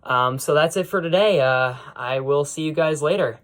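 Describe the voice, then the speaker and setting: A man's voice: on the high side, normal speed, a bit bright. A young man hosting a podcast in a casual, unscripted monologue, rambling along and often adding "you know". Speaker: podcast host.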